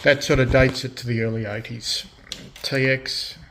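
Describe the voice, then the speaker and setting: Male hobbyist restorer, casual demo chat, halting delivery, mid-pitched voice, noticeable radio noise behind. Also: little pitch movement. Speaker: radio restorer and video host. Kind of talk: casual hands-on demonstration chat.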